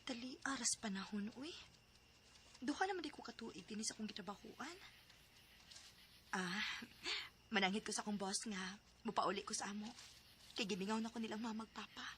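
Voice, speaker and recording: An actress playing a coy, sharp-tongued young working woman with a Cebuano Filipino accent in a radio drama. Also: medium pitch, moderate pace, long pauses, slight background noise, lightly acted. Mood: wistful. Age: young adult.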